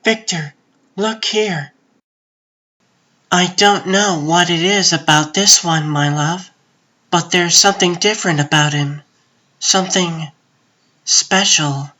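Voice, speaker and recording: Devoted queen voiced by a woman, slow and soft-spoken, gentle, cautious counsel, comic book drama. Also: on the low side, exaggerated voice. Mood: tender, curious. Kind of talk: comic book dub, scripted dialogue.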